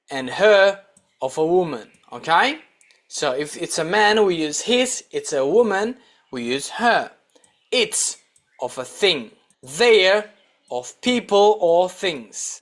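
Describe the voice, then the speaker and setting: A man teaching a scripted English grammar lesson in a deliberate, instructional way, repeating example sentences. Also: a little high, a bit bright.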